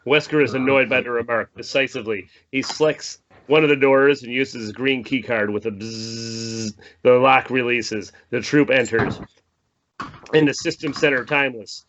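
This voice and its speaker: A man's voice: medium pitch, moderate pace, a bit bright, highs cut off, a little hiss or hum. A man reading screenplay stage directions aloud as narrator at a table read.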